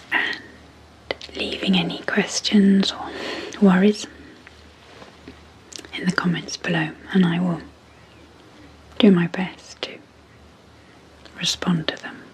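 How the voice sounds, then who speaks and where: A woman speaking softly and breathily in a gentle, measured ASMR sleep hypnosis style, leaving long pauses between short phrases. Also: fairly low pitch, flat delivery, a little hiss or hum, low-quality recording. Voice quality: soft, breathy, near-whispered. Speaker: psychologist hosting an ASMR channel. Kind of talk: soft-spoken ASMR sleep hypnosis introduction.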